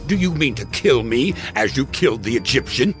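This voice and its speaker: A man's voice: medium pitch, very animated, a little fast, soft background music, close to an everyday voice. An actor playing a defiant, accusing Hebrew man in an audio Bible drama.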